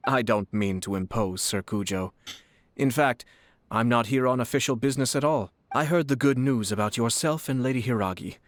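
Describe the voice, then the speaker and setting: Game role: man voicing polished political noble, calm mid voice, dry booth sound. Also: mid-range voice, no echo, natural voice. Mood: gracious. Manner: courteous, measured, politely probing. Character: smooth, shrewd young male noble commissioner.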